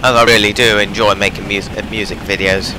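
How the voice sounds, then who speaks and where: A man's voice: fairly low pitch, steady tone, brisk. A young man rambling casually through train-simulator gameplay, hesitant with many fillers, his voice strained from talking too much. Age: young adult. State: losing his voice. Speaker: gaming channel host. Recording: noisy home recording.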